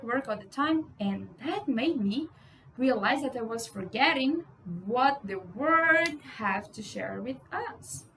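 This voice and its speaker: High-voiced Brazilian woman vlogging. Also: pushed and bright, a little hiss or hum, low-quality recording. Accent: Brazilian Portuguese. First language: Portuguese. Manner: outspoken, swears for emphasis, frequent "you know". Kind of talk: casual monologue to camera.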